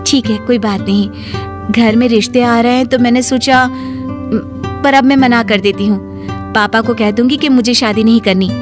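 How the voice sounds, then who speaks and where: A fairly high voice of an affectionate young sister, played by a woman. She talks in an earnest, chatty cartoon-story manner over plucked guitar music. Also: fairly even intonation, normal speed, natural voice. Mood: reassuring. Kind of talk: acted dialogue in Hindi moral story cartoon.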